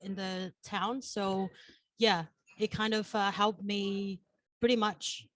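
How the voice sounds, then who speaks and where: A young woman from Samoa, a podcast guest, shy and hesitant in a soft voice. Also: mid-range voice, fairly even intonation, slow pace. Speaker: guest, flight attendant.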